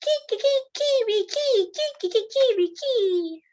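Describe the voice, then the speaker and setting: A plucky little storybook rooster, acted out by a woman reading aloud. The voice is very high and sing-song, swooping up into crows. It is merry and will not back down. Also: lively intonation, slow, darkish tone, dull audio, exaggerated voice. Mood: crowing. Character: merry, stubborn little rooster who loves singing.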